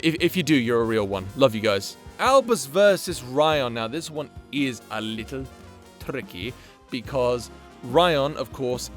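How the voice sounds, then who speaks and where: A man giving casual, off-the-cuff commentary, thinking aloud and second-guessing himself before landing on blunt verdicts. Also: medium pitch. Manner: mild swearing. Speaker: audio creator ranking his fictional characters in matchups.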